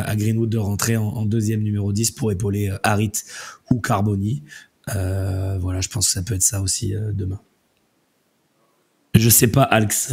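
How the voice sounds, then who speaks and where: Low-voiced man with a failing voice. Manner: relaxed, rambling, many fillers. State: losing his voice, has a cold. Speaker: host. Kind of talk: casual call-in chat.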